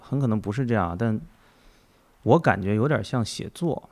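Male interviewer, fairly low pitch, conversational, musing and probing, thinks aloud. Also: expressive, normal speed, a little mellow. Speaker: interviewer, host.

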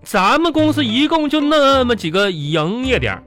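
A man's voice: very high-pitched, very animated, moderate pace, fairly bright tone, faint music behind, exaggerated voice. A man playing a needy, pushy office worker in a radio comedy sketch, with a stammering, pleading way of talking. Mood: flustered, whiny.

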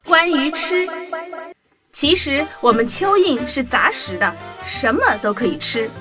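Woman narrator for a kids' story, high, very lively, music under it. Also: measured pace. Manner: playful, animated, first-person worm diary. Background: background music.

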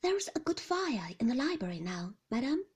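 A woman voicing a formal, attentive male butler, polite and deferential, in a soft breathy voice. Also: high voice, sing-song, normal speed, exaggerated voice. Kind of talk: whispered audiobook reading.

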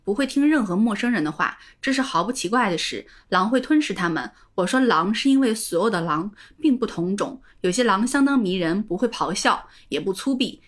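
A woman's voice: medium pitch, animated, fairly quick, hardly any pauses. A woman co-hosting a talk show, explaining stories and history in a conversational, orderly way. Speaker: podcast co-host.

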